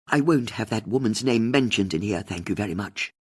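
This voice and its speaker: A woman's voice: a bass voice, animated, a little fast, darkish tone, slightly rough, exaggerated voice. A woman voicing a bitter, faded older aristocratic divorcee in an audiobook, scornful and world-weary. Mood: indignant. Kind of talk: audiobook character dialogue.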